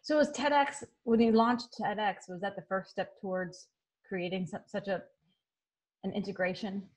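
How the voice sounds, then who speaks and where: A woman hosts a video call from her home office. She introduces her guest and asks short follow-up questions at a mid-range pitch. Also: steady tone, moderate pace, darkish tone, low-quality recording. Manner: short prompting questions and backchannels. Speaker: host.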